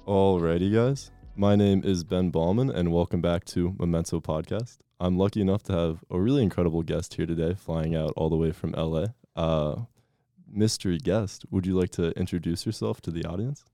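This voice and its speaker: A young man hosts a podcast with a very low, gravelly voice that has a mellow, dark tone. He speaks in an easygoing way, stretching out his questions with frequent ums. The recording is close and dry. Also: normal speed, dry recording, soft background music. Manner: easygoing, with frequent fillers and a relaxed pace. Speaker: podcast host. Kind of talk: casual interview hosting.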